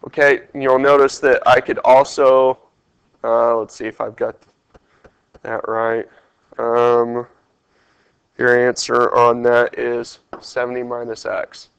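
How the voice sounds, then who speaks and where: A man's voice: mid-range voice, fairly bright tone, clear, resonant tone, no room sound, rough recording. Man, stuffy congested voice, math teacher, step-by-step questioning, dry close sound. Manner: talks through steps, quizzing the class. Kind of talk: test review lesson over screen capture.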